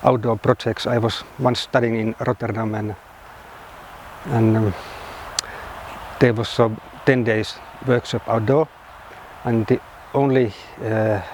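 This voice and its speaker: A man from Finland, a sculptor, speaking in a documentary interview in halting, simple phrases with many pauses. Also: lowish voice, steady tone, a little mellow, a little hiss or hum, low-quality recording. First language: Finnish.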